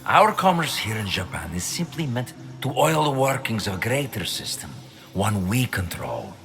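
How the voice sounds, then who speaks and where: An actor playing a cold, superior villainous mastermind in a video game cutscene, speaking in a measured, eloquent, menacing way. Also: male voice, fairly low pitch, sing-song, vocal fry, fairly bright tone, soft background music, heavily acted. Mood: calculating, menacing. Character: cold, calculating Templar mastermind, a grown man, coolly superior.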